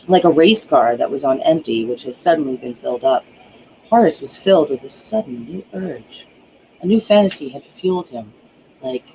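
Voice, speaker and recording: An author reading their own horror thriller aloud as dramatic narration, drawing out key words with hushed emphasis. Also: mid-range voice, slight background noise, poor audio.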